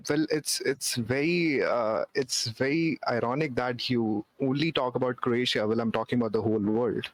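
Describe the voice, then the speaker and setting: A man's voice: mid-range voice, moderate pace, hardly any pauses, no echo, low-quality recording. A young man from India, a philosophy student who challenges and repeats his questions, mocking at times. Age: young adult. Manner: repetitive, challenging, mocking with laughs. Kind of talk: casual debate on video chat.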